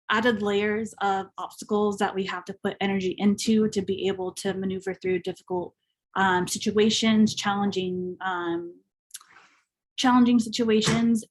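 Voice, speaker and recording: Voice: medium pitch, rough recording. A young adult with a feminine-sounding voice and Spanish as a first language, speaking reflectively and a little hesitantly on a discussion panel. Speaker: panelist, university graduate.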